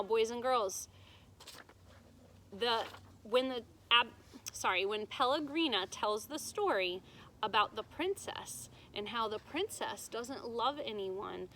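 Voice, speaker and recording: A woman's voice: on the high side, pushed and bright, low-quality recording. A woman teacher reading a storybook aloud to children, with emphatic delivery and simple explanations. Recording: noticeable background noise.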